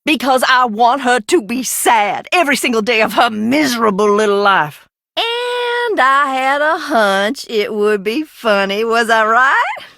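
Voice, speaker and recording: An actress playing an eccentric, bitter younger sister in a video game, theatrical and mercurial, giggly and menacing by turns. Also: female voice, on the high side, sing-song, a little slow, bright, forceful tone, no room sound, studio quality, full character voice. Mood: gleeful, spiteful. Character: eccentric, bitter, unhinged younger sister living hidden in a crypt. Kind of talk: video game character acting.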